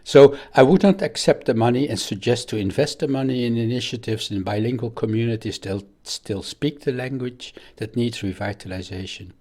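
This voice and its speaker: A man's voice: medium pitch, moderate pace, few pauses, a little mellow. An older man from the Netherlands, giving a prepared academic statement as a professor. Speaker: professor of African languages.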